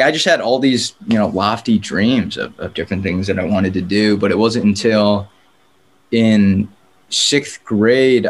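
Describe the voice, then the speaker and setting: A young man from San Diego, a filmmaker guest talking casually in an interview, relaxed and full of filler words. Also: on the low side. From San Diego, California. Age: young adult. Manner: relaxed, chatty, frequent "like" fillers.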